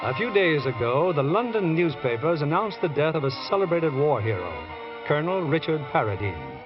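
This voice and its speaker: A man's voice: on the high side, sing-song, moderate pace. A man announcing and narrating a radio drama in a scripted 1940s style. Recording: muffled old radio recording. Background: faint music.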